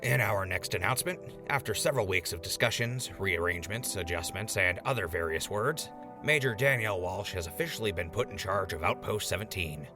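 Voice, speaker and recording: A man's voice: sits low, a little fast, natural voice. A man playing a chipper wasteland radio host, delivering upbeat, wry announcer patter in an audio drama. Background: music.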